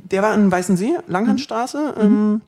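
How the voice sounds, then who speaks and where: A man in his twenties from Berlin with a light Berlin accent, telling stories from his life as an interview guest, quick and joking, laughing often. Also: sits high, a little mellow. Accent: light Berlin German. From Berlin, Germany. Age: 20s. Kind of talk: casual storytelling interview.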